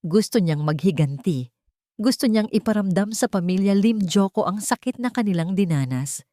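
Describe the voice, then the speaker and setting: A woman's voice: medium pitch, animated, moderate pace, dark tone, no room sound, clean studio sound. A woman narrating a scripted dramatic story as a storyteller.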